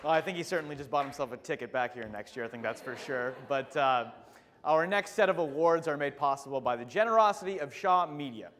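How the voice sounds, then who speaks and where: A man's voice: on the high side, lively intonation, fairly quick, a bit bright, big-room sound. Man hosting an awards ceremony. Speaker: awards host. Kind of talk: scripted announcing.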